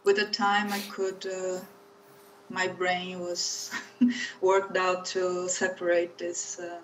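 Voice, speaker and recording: A woman's voice: lowish voice, completely flat delivery, slow. Chatty woman, off-mic. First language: Portuguese. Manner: chatty, laughs often, many restarts. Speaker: guest. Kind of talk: casual conversation. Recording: distant mic, faint background noise.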